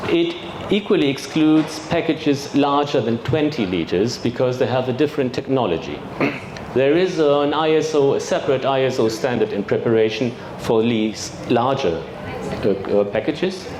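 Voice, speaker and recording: A man with a German accent giving a technical presentation at a trade fair, measured, with fillers and throat clearing. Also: mid-range voice. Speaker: industry expert presenter. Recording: echoey hall with background noise.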